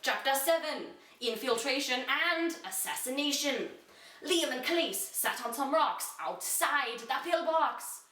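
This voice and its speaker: A woman giving a deliberate, dramatic reading of an amateur children's fantasy story, like a storyteller. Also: high voice, expressive, sharp tone, far from the mic. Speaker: reader. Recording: echoey room, away from the mic.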